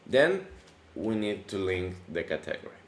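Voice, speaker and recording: A man hosting a casual coding tutorial, his voice deepened by allergies, talking in a relaxed, chatty way with many fillers and restarts. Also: measured pace. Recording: echoey room with faint background noise.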